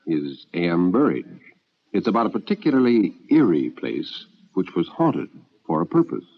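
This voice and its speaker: A man reading stories in a measured, formal old-time radio storytelling style. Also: deep voice, big pitch swings, creaky. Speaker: story reader. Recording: muffled radio recording, faint music.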